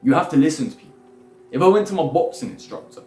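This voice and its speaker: A man's voice: fairly high pitch, lively intonation, quick pace, a little mellow. A young man lecturing bluntly in a rambling self-improvement monologue, swearing freely. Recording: echoey room with faint music.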